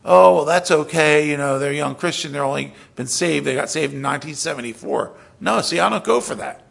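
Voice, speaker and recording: A middle-aged male pastor with a gravelly, husky voice pitched a little high. He preaches in a loose, conversational way, restarting his sentences, then pushing up to emphatic peaks before dropping almost to a whisper. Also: fairly quick, fairly bright tone. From South Philadelphia. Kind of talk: sermon.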